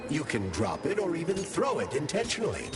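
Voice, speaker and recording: An actor playing a calm male AI companion in video game dialogue, explaining things in a measured way, with music playing under the game audio. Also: fairly high pitch.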